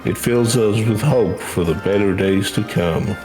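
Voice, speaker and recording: A man voicing a gentle, proud schoolteacher, reading video game dialogue in a measured, formal, earnest way. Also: on the low side, over music, natural voice. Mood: warm, reflective.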